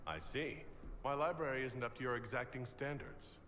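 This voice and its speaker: A man playing a composed, dryly amused fantasy hero in a video game, with a measured delivery. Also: medium pitch, echoey room, crowd noise, close to an everyday voice. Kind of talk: video game dialogue acting.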